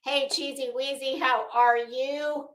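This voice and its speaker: Slow-paced woman livestreamer in an echoey room. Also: high-pitched, fairly even intonation, a bit bright. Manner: rambling, opinionated, emphatic. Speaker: livestream host. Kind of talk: casual livestream chat with viewers.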